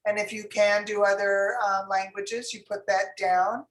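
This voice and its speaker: A woman's voice: medium pitch, flat delivery, unhurried, echoey room, poor audio. A woman casting director advising actors in a class, chatty and rambling with many fillers.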